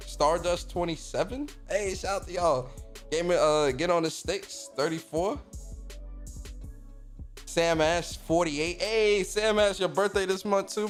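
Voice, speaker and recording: A man in his thirties hosting a casual gaming livestream, reading out viewer comments and giving loose, profane shout-outs to the chat. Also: sits high. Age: 30s. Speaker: streamer and podcast host.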